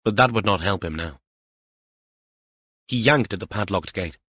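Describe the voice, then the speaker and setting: A man's voice: sits low, moderate pace, fairly bright tone, breathy edge, no room sound, clean studio sound. A man reading a mystery novel aloud as scripted audiobook narration.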